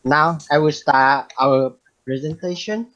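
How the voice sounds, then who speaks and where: A man's voice: on the high side, takes it slowly, a bit bright. A man from Vietnam giving a class presentation, reading his part steadily. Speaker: student presenter. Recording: dull lo-fi sound.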